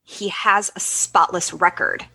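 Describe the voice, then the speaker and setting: A woman playing a capable, feisty space-station commander in a comedy sci-fi radio play, brisk, confident and quick to bristle. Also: fairly low pitch, fairly even intonation, vocal fry, sharp tone, heavily acted. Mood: defensive, indignant.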